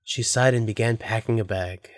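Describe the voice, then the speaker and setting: A man's voice: lowish voice, fairly even intonation, normal speed, no room sound. A man narrating a scripted audio drama as its storyteller.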